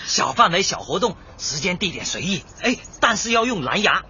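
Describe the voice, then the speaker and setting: A man's voice: high-pitched, big pitch swings, normal speed, sharp tone, breathy edge, full character voice. An actor playing an eager, good-natured, boastful young male shopkeeper in a radio comedy, perky and self-satisfied. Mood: eager, conciliatory. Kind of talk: light comedy radio drama acting. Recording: dull lo-fi audio, faint noise.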